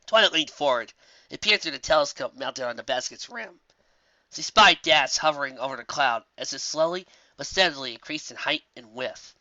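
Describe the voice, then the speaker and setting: An expressive narrator reading a scripted story aloud. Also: mid-range voice, normal speed, no room sound, muffled recording. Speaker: fan fiction narrator.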